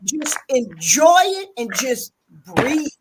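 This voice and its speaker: A woman's voice: high-pitched, very animated, slow pace, background noise. A woman hosting a live stream chat, coaxing and soothing, calling her listener sweetheart and baby as she gives advice. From a big city.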